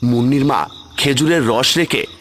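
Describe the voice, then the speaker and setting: A man's voice: mid-range voice, normal speed, a bit bright. A man narrating a scripted horror story as its storyteller. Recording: music bed under the voice. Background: music.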